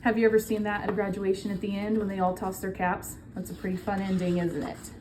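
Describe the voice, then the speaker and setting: A woman's voice: medium pitch, level tone, quick pace, a little mellow, a little hiss or hum. A woman reading a children's book aloud like a teacher, explaining simply and prompting her listeners with questions.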